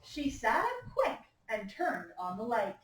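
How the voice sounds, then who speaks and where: Female primary teacher for read-aloud, patient and instructive, slightly high pitch, recorded at a distance in an echoey room. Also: wildly swooping pitch, measured pace, a crowd in the background. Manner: patient, prompting with questions and gentle instructions. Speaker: teacher reading aloud to young children. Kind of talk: interactive picture-book read-aloud to a class. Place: echoey indoor room with young children.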